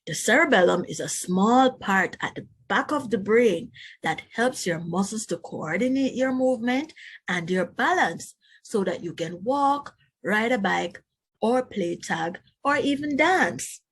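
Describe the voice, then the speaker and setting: A woman reading aloud as a teacher to young children, instructive and expressive, adding explanatory asides. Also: medium pitch, measured pace, slightly rough. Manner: instructive, addresses young listeners directly, expressive emphasis.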